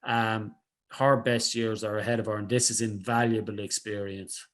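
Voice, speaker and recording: A man giving measured sports analysis as a studio guest, leaning into emphatic points. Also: on the low side, little pitch movement, a bit bright. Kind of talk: studio discussion.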